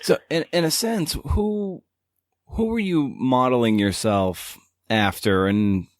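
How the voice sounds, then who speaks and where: A man's voice: medium pitch, takes it slowly, no echo, clean studio sound. A man hosting a casual podcast interview, asking rambling, conversational questions. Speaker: podcast host.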